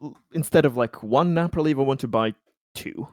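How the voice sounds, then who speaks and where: A man's voice: medium pitch, fairly quick, darkish tone, dry recording, clean studio sound. A man chatting casually as a player in a tabletop game.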